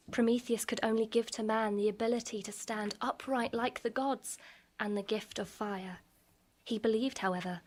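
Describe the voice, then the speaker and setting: A woman acting a teasing barmaid who tells Greek myths in a measured, knowing way, in a radio play. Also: mid-range voice, close to an everyday voice. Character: teasing barmaid turned storyteller of Greek myth. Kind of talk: radio play storytelling on stage. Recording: noisy live stage recording.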